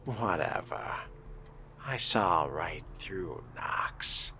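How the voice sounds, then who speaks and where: A man voicing a suave, haughty, sly fledgling vampire for a video game role-play, arch, measured and theatrical. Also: low-pitched, lively intonation, sharp tone, in a whisper, heavily acted. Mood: smug, cool.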